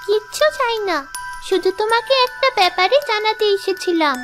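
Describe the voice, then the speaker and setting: Cartoon snake girl: tiny high voice, knowing, kindly, enigmatic, music underneath. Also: normal speed. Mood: knowing, reassuring. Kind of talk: exaggerated cartoon dialogue.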